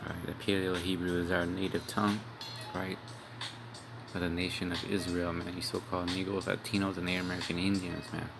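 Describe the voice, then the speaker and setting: A man's voice: low voice, flat delivery, a little fast, gravelly. A young man giving an informal, rambling street-style sermon, cursing bluntly and tagging his lines with "man" and "all right". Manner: profane, blunt. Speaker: street preacher. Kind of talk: informal religious video sermon. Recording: music playing behind.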